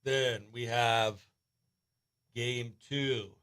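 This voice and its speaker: A man's voice: medium pitch, fairly even intonation, very slow, fairly bright tone, clear, resonant tone, dry recording, clean studio sound. A man chatting as a morning radio show host, upbeat and talkative, sometimes muttering to himself as he works. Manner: upbeat, chatty, mutters to self while working. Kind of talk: behind-the-scenes radio chat. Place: radio studio.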